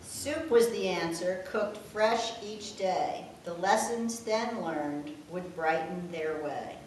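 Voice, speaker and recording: A woman's voice: lowish voice, takes it slowly, darkish tone. A mature woman reading her rhymed verse aloud to family, with casual asides. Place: room at a family gathering. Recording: echoey room, distant mic, faint noise.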